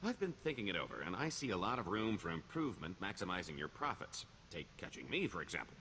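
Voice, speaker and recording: A man's voice: sits low, big pitch swings, fast, bright, forceful tone, slight background noise, full character voice. A man playing a roguish middle-aged career criminal in a video game, wry and boastful, with a wheedling, theatrical sarcasm. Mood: sly, persuasive.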